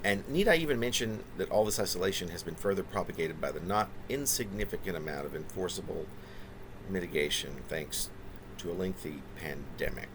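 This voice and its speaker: A man reading his own reflective, autobiographical poetry in halting, deliberate phrases that wind through long, wordy sentences. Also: low voice, lively intonation. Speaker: poet reading own work.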